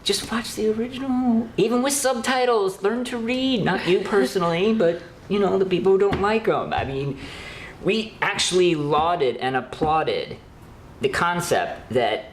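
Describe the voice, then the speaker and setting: A co-host of a horror news show giving opinionated, casual commentary in an emphatic way, repeating words for effect. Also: measured pace. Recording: roomy echo, background rumble noise.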